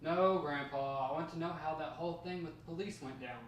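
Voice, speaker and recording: Persistent, curious grandson, played by a man, slightly high male voice, table read picked up far from the mic in a very echoey room. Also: a little fast, a little hiss or hum, close to an everyday voice. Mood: insistent.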